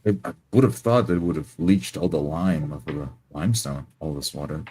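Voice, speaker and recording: A man playing a tabletop roleplay game, improvising his character's actions in the first person with halting, repeated words. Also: extremely low pitch, mellow, a little hiss or hum.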